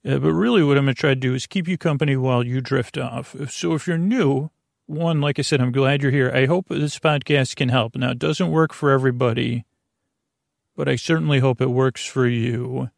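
A man hosting a bedtime podcast in a creaky voice, wandering haltingly through tangents and tripping over his words. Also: medium pitch, dry recording, clean studio sound. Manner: meandering, halting, digressive, stumbling over words. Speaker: podcast host. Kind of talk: rambling bedtime podcast monologue.

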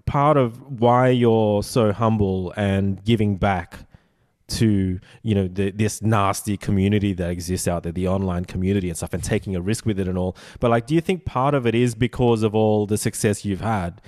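A man in his forties hosting a podcast interview, enthusiastic and halting, full of stumbles and "like". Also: lowish voice, steady tone, a little mellow, no room sound, studio quality. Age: 40s. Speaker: podcast host. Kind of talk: casual interview chat.

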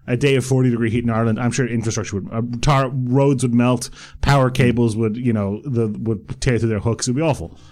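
Young croatian-born guy on a podcast, talks fast, rambles, laughs a lot. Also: medium pitch, fairly even intonation, a little mellow. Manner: rambling, laughs often, many restarts. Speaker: podcast co-host. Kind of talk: casual chat.

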